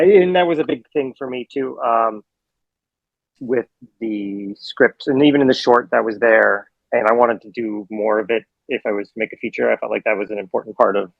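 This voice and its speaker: A film director being interviewed, talking casually in long, rambling answers full of fillers and self-corrections. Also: male voice, mid-range voice, gravelly, no echo, dull audio. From Hawaii.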